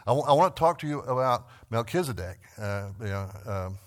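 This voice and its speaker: A man's voice: mid-range voice, expressive, a little fast. A man with a Texan accent leading a church Bible class, talking earnestly with frequent restarts and repeated words. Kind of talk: conversational Bible class teaching.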